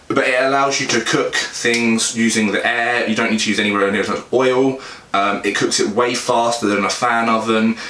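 Echoey, low-voiced young British man. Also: pushed and bright. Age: young adult. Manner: informal, plain-spoken explaining. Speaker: fitness vlogger and meal prep host. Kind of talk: casual cooking demonstration to camera. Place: small home kitchen, indoors. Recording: echoey kitchen, clear background noise.

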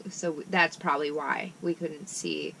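A woman's voice: deep voice, steady tone, a little slow, gravelly, bright, forceful tone, slight background noise, low-quality recording. Mock-serious woman chatting in a boat cabin. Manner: mock-serious, chimes in to finish his sentences. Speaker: sailing vlogger. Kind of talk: deadpan satirical chat. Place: small wooden boat cabin. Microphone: camera in front at close range.

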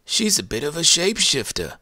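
A man's voice: on the high side, sing-song, fairly quick, pushed and bright, no room sound, voice pushed up, heavily acted. A man voicing a whimsical, confident animal puppet sidekick in children's banter. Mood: wonder, stubborn.

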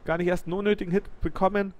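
A young man giving lively, excited play-by-play commentary over a tank game replay, praising the moves as he goes. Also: high voice, racing, muffled recording, slight background noise.

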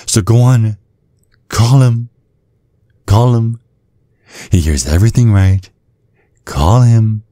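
A man playing a seductive, vengeful vampire in an ASMR roleplay, taunting in a sultry, husky, breathy voice. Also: sits low, dark tone, dry recording, full character voice. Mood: scornful, taunting. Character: seductive, vengeful male vampire, former protégé of a priest.

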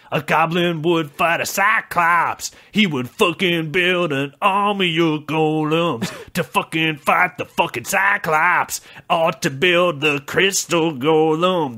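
A man's voice: fairly high pitch, sharp tone. A man voicing a little singing bug in a comedy podcast, a brash, foul-mouthed crooner who rambles in improvised profane verse.

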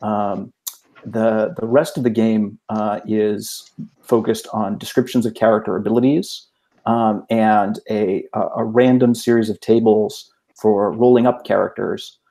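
A man on a video call explaining things in a measured, methodical way as an interview guest. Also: fairly low pitch, little pitch movement, warm tone. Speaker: game designer, podcast guest.

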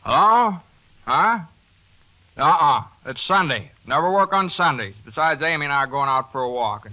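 An actor playing a brash, folksy small-town dentist with a grudge in a 1940s radio drama. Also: male voice, on the high side, lively intonation, normal speed, dull audio, close to an everyday voice. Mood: gruff, boastful. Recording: old 1940s radio broadcast.

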